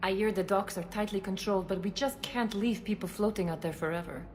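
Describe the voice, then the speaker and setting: A woman's voice: medium pitch, fairly quick, a little mellow, lightly acted. A woman playing a composed, caring head physician in a dramatic video game. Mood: worried. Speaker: doctor. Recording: ambient background noise.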